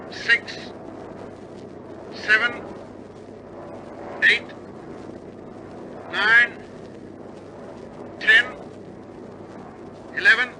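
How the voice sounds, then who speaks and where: A man's voice: very high-pitched, heavily acted. A man playing an RAF bomber crewman in an old-style radio drama, speaking in a clipped, urgent, stoic military way. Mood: focused, tense. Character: male RAF bomber crewmen in tense wartime flight. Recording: noisy old recording, loud aircraft engine drone. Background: aircraft engine roar.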